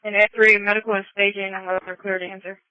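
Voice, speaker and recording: A woman's voice: mid-range voice, little pitch movement, fast talker, smooth, dry recording. A woman working as a police dispatcher, speaking in clipped acknowledgements and relayed instructions over the radio. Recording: muffled close radio dispatch channel.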